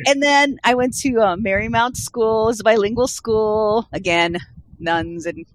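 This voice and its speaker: A woman's voice: fairly high pitch, measured pace, poor audio. A woman from Colombia, Spanish her first language, chatting casually and rambling through her story. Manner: rambling, restarts often, laughs easily. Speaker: guest. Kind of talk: casual chat.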